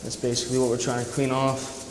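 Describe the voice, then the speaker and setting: A man's voice: medium pitch, completely flat delivery, fast, low-quality recording. A young man giving an unscripted, informal and somewhat hesitant personal account in an interview. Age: young adult. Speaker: military policeman giving personal testimony. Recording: faint background noise and ambience.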